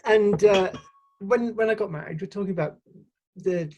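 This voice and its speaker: A woman's voice: medium pitch, moderate pace, darkish tone, rough recording. A woman from Sheffield, a podcast guest, explaining her ideas at length in a thoughtful, casual way with frequent restarts. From Sheffield, England. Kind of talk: casual conversational interview.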